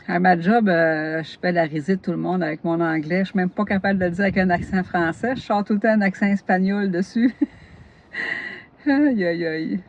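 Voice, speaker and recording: A woman's voice: fairly low pitch, moderate pace, pauses often, highs cut off. A woman from northern Gaspésie with a Québécois accent, a knitting vlogger who chats casually, laughs a lot and jumps between topics while tired. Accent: Québécois French. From northern Gaspésie, Quebec. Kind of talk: casual chat.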